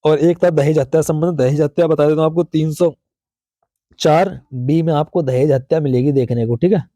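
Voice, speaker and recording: A man's voice: on the high side, fairly even intonation, normal speed, dark tone, dry recording. A man with a North Indian accent teaching an informal exam review, explaining conversationally and answering his own questions.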